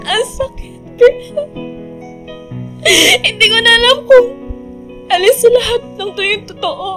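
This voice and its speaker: A woman's voice: extremely high pitch, measured pace, a bit bright, faint music behind. A woman playing a heartbroken young woman in a radio drama, crying and pleading bitterly. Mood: crushed, crying. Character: heartbroken young woman Shane, bitterly confronting the man she loves. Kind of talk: emotional Filipino radio drama acting.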